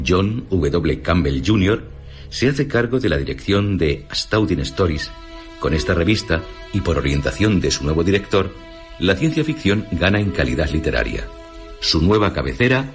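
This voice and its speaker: A man reading a scripted radio documentary in a measured, formal announcer's delivery. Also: low voice. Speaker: radio narrator. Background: music.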